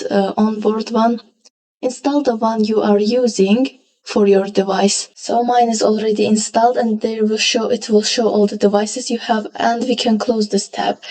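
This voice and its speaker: A woman narrating a casual step-by-step software tutorial as its presenter. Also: fairly high pitch, low-quality recording.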